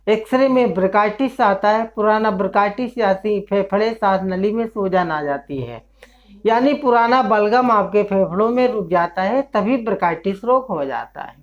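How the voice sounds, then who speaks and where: A man who works as a traditional herbal healer, explaining a home remedy in a slow, repetitive way and listing one ailment after another. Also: sits high. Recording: dull lo-fi sound.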